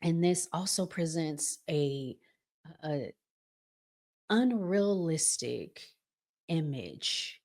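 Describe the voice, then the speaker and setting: A woman hosting a commentary vlog, talking conversationally in deliberate phrases broken into short pieces. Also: deep voice, animated, darkish tone. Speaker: host.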